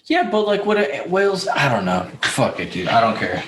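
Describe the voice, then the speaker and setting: A man with a mid-range voice hosts a casual podcast chat. He talks in a blunt, loose way, swearing freely and often feeling his way haltingly through a sentence. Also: mid-range voice, wildly swooping pitch, crowd noise. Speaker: podcast host.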